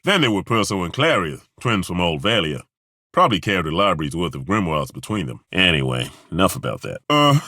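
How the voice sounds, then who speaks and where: A gruff old dwarf with a low, husky voice that swings widely between growls and sudden high bursts. He talks in a dry, sardonic, blunt way, full of sighs, with a bright, forward edge to his tone. The recording is dry and close, with no room around him. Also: dry recording, heavily acted. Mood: excited. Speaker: companion character. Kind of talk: game character voice lines.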